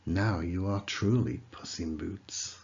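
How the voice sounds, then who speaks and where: A man's voice: deep voice, slow, vocal fry, husky, highs cut off, heavily acted. A man voicing a humble, kindly young miller's son in a children's storybook, earnest and plain-spoken. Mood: amused. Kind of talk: children's storybook character reading.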